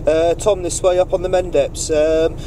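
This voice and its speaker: A man with a slightly high voice works as a CB radio net operator, giving procedural calls and courteous replies. He sounds away from the mic, with loud radio and vehicle noise all around. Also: flat delivery, moderate pace. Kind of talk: radio call-outs and casual operator chat. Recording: noisy vehicle CB radio setup, distant mic.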